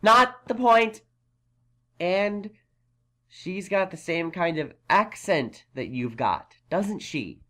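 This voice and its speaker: A woman playing a brainy, exasperated young male unicorn magician in a fan audio drama, questioning in a measured way. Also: fairly low pitch, lively intonation, fairly bright tone. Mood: exasperated probing.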